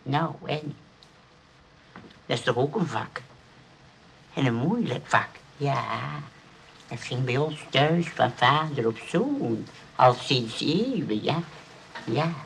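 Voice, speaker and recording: Radio play role: very old grandpa, ex-burglar, creaky and hesitant, courteous and wistful, faint noise behind. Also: male voice, mid-range voice, lively intonation, slow, pauses often, a bit bright, poor audio. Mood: unrepentant. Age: older. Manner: hesitant, repetitive, folksy and courteous.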